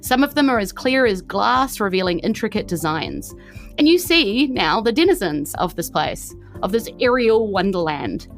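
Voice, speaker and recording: A woman's voice: mid-range voice, very animated, normal speed, soft background music. A woman narrating a tabletop game as game master, descriptive and conversational.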